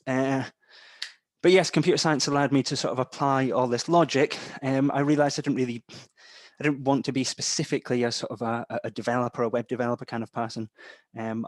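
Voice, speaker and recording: A young man with a mild Scottish accent, softened by English parents, giving a chatty instructor's talk full of ums and a habit of asking "right?". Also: medium pitch, dry recording. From Scotland. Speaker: data analytics instructor. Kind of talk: webinar-style lecture.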